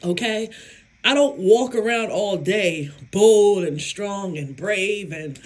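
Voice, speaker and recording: A woman vlogger and psychic medium talking casually to her viewers, with a hoarse, raspy voice and an emphatic, self-assured way of speaking. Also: mid-range voice. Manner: slow, emphatic, self-affirming, laughs often. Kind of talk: casual talk to camera.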